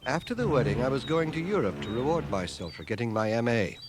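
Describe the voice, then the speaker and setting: An actor playing a dry, self-deprecating bookish man who narrates his memoirs in a measured, literate radio drama style. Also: mid-range voice, lively intonation, over music, lightly acted. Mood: wry, reflective. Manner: measured, literate, wry delivery. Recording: 1980 radio drama, home cassette transfer.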